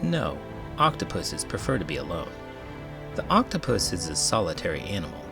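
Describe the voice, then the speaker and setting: A man reading a children's picture book aloud in an expressive, dramatic way, as a host would for young listeners. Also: low-pitched, normal speed, vocal fry, over music. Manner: expressive, dramatic delivery with big emotions. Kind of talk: animated read-aloud narration for children.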